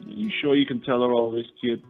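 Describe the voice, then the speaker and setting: A man plays an earnest gentleman suitor in radio drama. His voice has a gravelly creak, and he talks in formal, wordy, slightly condescending phrases. The recording is narrow like a phone line, with faint music behind. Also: medium pitch, expressive, fairly quick. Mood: earnest, impatient. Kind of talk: radio play acting.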